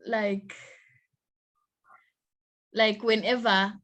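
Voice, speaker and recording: A young woman with a Zimbabwean accent speaks as a guest in an interview. She talks slowly and haltingly, and often restarts her sentences. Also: mid-range voice, level tone, a bit bright. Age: young adult. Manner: hesitant, halting, repeats words. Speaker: guest, university student.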